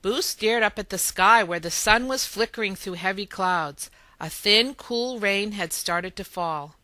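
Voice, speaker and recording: A woman reading a children's story aloud in expressive narration. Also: medium pitch, measured pace, no room sound. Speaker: storybook reader.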